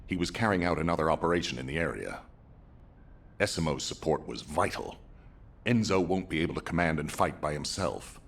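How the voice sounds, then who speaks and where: A man playing a grave, weary but resolute military commander in a video game, with a husky, gravelly voice and a stern, formal delivery. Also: extremely low pitch, expressive, a little fast, full character voice. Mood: stern, urgent. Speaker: commander giving mission briefings. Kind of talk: dramatic video game briefing.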